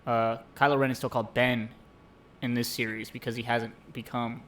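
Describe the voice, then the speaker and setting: Male co-host, mid-pitch, earnest storyteller, faint rumble underneath. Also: flat delivery, moderate pace, a bit bright. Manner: earnest, storytelling, many fillers. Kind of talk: casual chat. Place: home streaming setup.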